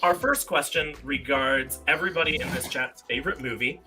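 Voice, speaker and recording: Very bright-voiced man hosting a quiz. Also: mid-range voice, fairly quick. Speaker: quiz game host. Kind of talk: casual live-stream quiz hosting with read-out questions. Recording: music behind the voice. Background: music.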